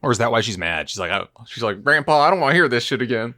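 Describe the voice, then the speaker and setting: A man co-hosting a podcast in casual discussion, talking in a halting, questioning, rambling way. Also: mid-range voice, expressive, pushed and bright. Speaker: podcast host.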